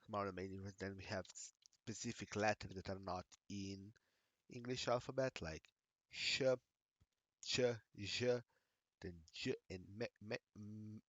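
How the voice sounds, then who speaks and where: A man with a Slovenian accent, his native tongue Slovenian, talking through a live screen-share tutorial in a halting, self-correcting way. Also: lowish voice, steady tone, fairly bright tone, dry recording. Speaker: tech streamer.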